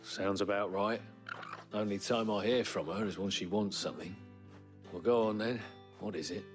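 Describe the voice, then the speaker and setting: An actor playing a gruff, wry stable hand in a video game, plain-spoken and folksy. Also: male voice, on the low side, fairly even intonation, moderate pace, soft background music, lightly acted. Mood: wry, cautioning. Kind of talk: video game cutscene acting.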